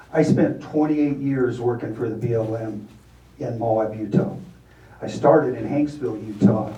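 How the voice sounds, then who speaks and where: An older retired man giving measured, blunt testimony at a county meeting. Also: mid-range voice, dark tone. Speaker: public commenter. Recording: echoey room, distant mic, faint noise.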